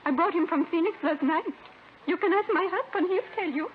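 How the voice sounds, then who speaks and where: An actress playing a desperate, insistent wife in a 1950s radio drama, on an old noisy recording. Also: female voice, very high voice, a little fast, narrow, tinny audio. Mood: insistent denial. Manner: pleading, insistent denials in short phrases. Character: desperate young wife clinging to a stolen baby.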